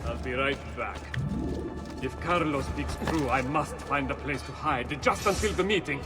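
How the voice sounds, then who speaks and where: An actor playing an arrogant, scheming merchant lord in a video game cutscene, haughty and frantic by turns. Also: male voice, mid-range voice, measured pace, loud music behind the voice, natural voice. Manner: haughty, grows frantic and shouting. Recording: game audio with score underneath.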